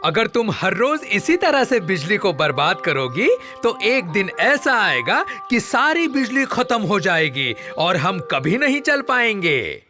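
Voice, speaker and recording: A man's voice: high-pitched, very animated, measured pace, hardly any pauses, music in the background. A man voicing a cheeky, earnest talking television set in a children's cartoon, declaiming his lines. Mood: earnest warning.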